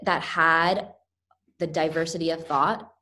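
A woman's voice: low-pitched, steady tone, measured pace, pushed and bright. A young woman from the United States, a podcast guest, talking earnestly and reflectively with frequent restarts. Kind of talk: interview conversation.

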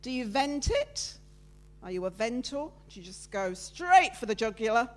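A woman preaches with a loud, lively voice that sits a little high. She chats freely with the people in front of her and works through slides in an informal sermon. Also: normal speed. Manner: loud, lively, chatty with the congregation. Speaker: preacher giving a talk at church. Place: church meeting room with listeners present.

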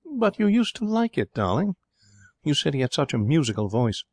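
A man's rendering of a gentle mother in a storybook reading. She is indulgent, yet mildly reproving and quick to be shocked. The pitch is lifted and the sound is dry. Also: big pitch swings, no echo.

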